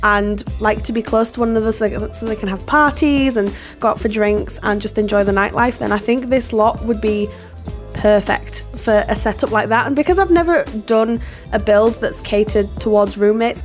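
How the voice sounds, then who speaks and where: A hoarse woman, losing her voice, chatting in a rambling, apologetic way over her building video and laughing often. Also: fairly high pitch, normal speed. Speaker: game builder and video host. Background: soft background music.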